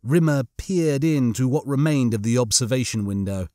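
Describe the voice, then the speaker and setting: A man's voice: mid-range voice, lively intonation, moderate pace, mellow, dry recording, clean studio sound. A man reading a science fiction audiobook in a theatrical, suspenseful way. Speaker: audiobook narrator. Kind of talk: dramatic scripted narration of comic science fiction.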